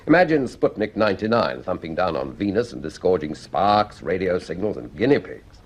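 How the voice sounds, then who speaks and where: An actor playing an urbane, authoritative senior scientist in a radio drama, with a deliberate, reassuring way of talking. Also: male voice, deep voice, big pitch swings. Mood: speculative.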